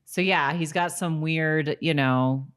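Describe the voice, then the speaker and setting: A woman's voice: deep voice, slow pace, smooth. A woman co-hosting a podcast in a casual chat about a TV episode, often laughing as she talks. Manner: giggly, laughs often while talking. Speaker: podcast co-host.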